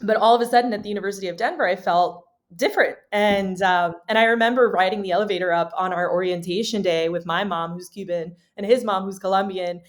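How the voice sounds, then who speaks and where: A woman from Miami, a podcast guest, telling stories in a lively, animated way and laughing often. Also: mid-range voice, quick pace, hardly any pauses. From Miami, USA. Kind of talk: casual storytelling chat.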